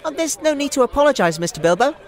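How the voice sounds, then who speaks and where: A man's voice: very high-pitched, big pitch swings, fast talker, a faint crowd, pitched above the performer's own voice. A man voicing a cheerful, hearty male hobbit pony-keeper in an audio drama, jolly and easygoing.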